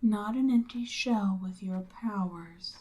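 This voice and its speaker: Casting: woman as domineering Demon Queen for ASMR roleplay, mid-pitched, unhurried, breathy half-whisper, smugly seductive. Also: darkish tone, lightly acted, rough recording. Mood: threatening, commanding. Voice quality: breathy, often dropping into whisper. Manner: slow, smug, coaxing, commanding.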